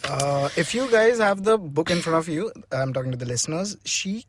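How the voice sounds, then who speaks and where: A man's voice: a little high, lively intonation, normal speed, slight background noise. A man chatting casually as a podcast co-host. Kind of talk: casual chat.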